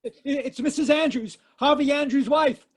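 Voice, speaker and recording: An actor playing a panicked messenger in an old-time radio drama, who stammers frantically. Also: male voice, extremely high pitch, normal speed. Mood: frantic. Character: panicked messenger bringing news of a murder.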